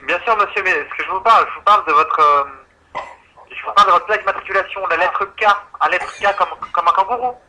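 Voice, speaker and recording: A male customer service agent speaks in a very bright, forceful, slightly high voice. He is polite and patient as he explains, heard over a tinny phone line with faint noise. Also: fast talker. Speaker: insurance call-centre agent. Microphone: telephone.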